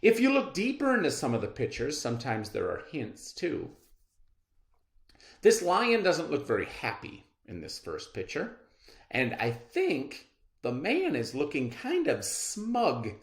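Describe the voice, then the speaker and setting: A man teaching children in a read-aloud lesson, expressive and instructive, often posing questions and checking in. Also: mid-range voice, a little slow. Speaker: elementary school teacher. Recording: echoey room sound.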